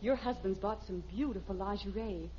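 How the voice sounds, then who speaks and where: A woman's voice: mid-range voice, moderate pace, over a noisy crowd, lightly acted. An American actress playing a polite, gushing young saleswoman in a 1950s radio sitcom. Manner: effusive, salesy. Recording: muffled, noisy old radio recording.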